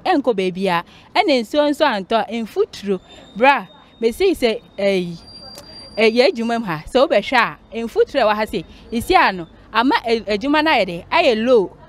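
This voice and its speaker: A young woman with a Ghanaian accent talking haltingly in a street interview. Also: a little high. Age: young adult. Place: outside a dress shop.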